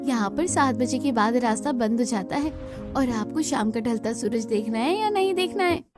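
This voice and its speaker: High-voiced frank young village girl, woman acting. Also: lively intonation, moderate pace, music in the background, natural voice. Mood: matter-of-fact. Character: frank young village sister-in-law, college student.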